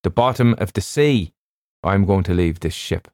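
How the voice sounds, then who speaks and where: A man's voice: sits low, normal speed, a little mellow, dry recording, clean studio sound. A man voicing a brusque, self-assured ship's surgeon in an audiobook, with clipped, emphatic, old-fashioned speech. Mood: blunt, wary.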